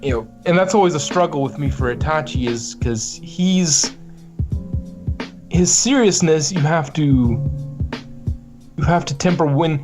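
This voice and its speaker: Guy voice actor on a podcast, thinking out loud, kinda halting, music going behind him. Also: on the high side. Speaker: guest voice actor. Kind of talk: casual podcast chat about acting.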